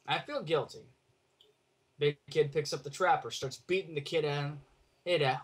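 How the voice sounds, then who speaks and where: A man telling a casual story over an online voice call, blunt and sweary, in halting phrases. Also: a little high, husky. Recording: noisy online call with clicks.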